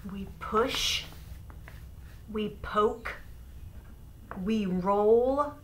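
A middle-aged woman reading a children's picture book aloud in short, deliberate phrases with pauses between them. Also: medium pitch, fairly bright tone. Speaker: storybook reader. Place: small room at home beside an open window. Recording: noisy room, home webcam recording. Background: clear background noise, room ambience.

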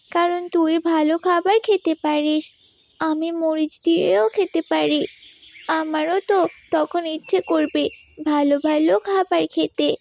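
Small girl, very high voice, slow delivery, earnest, pious, gently preachy, cartoon. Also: heavily acted. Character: pious, gentle, wise poor little girl Hafsa. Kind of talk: moral cartoon voice acting.

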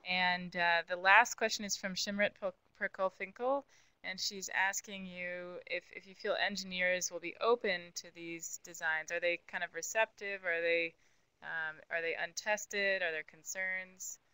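A woman's voice: on the low side, steady tone, unhurried, bright, forceful tone, clear, resonant tone, dry recording, poor audio. A woman moderating a webinar, reading audience questions out in an orderly way and passing them along.

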